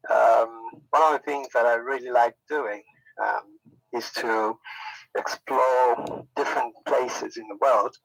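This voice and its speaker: A man's voice: mid-range voice, steady tone, slow pace, gravelly, no room sound, low-quality recording. A middle-aged man from Zambia giving an unscripted talk as a university department head, friendly and informal, with many fillers and restarts. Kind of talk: unscripted presentation.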